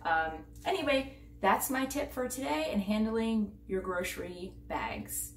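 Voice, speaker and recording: A woman's voice: medium pitch, big pitch swings, a little slow, far from the mic, a little hiss or hum. A woman in her thirties giving a casual, upbeat home organizing demonstration, moving around as she talks. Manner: chatty and upbeat, moving around while handling bags. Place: home entryway with hardwood floor, indoors. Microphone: camera mic at a distance. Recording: echoey room, voice from off the mic.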